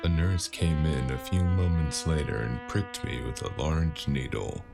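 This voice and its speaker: A man playing a weary, wisecracking noir investigator in an audio drama, dry and sardonic, with a gravelly voice and an unhurried delivery. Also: very deep voice, over music, exaggerated voice. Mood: brooding, narrating. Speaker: narrator and lead character.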